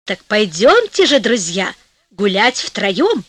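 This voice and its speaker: A woman's voice: medium pitch, big pitch swings, slow, fairly bright tone, dry recording, exaggerated voice. A woman voicing a dignified, scrupulous noble lady spirit in a storybook, speaking courteously and formally. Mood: delighted.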